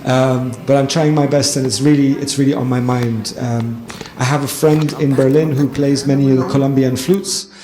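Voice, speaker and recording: A man's voice: medium pitch, fairly even intonation, moderate pace, hardly any pauses, mellow. Thoughtful man speaking in an echoey hall. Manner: thoughtful, with frequent ums and restarts. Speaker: lecturer and panelist. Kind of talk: conversational talk answering a question. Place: event hall with audience. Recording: echoey hall, faint noise.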